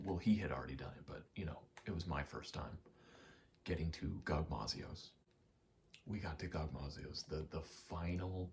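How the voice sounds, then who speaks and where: A soft-spoken man giving rambling ASMR game commentary, halting and casually profane, often slipping into a whisper. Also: very deep voice, creaky, a little hiss or hum. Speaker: gaming video creator.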